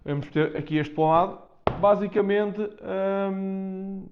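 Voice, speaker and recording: A man's voice: high voice, steady tone, a little slow, slight background noise. A man with a European Portuguese accent talks casually and unscripted to viewers as he presents a product, improvising loosely and repeating himself. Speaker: vlogger presenting a product unboxing. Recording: dull, muffled lo-fi sound.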